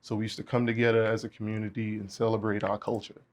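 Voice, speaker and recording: A young man from the Bahamas, a guest musician talking in a relaxed way, with many fillers. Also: on the low side, fast talker. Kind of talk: casual interview chat.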